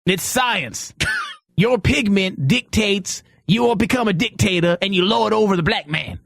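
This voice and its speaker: Male talk show host: high voice, bossy and quick to cut in, very dry close sound. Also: vocal fry, fairly bright tone, dry recording. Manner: bossy, interrupting. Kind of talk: bantering talk show chat.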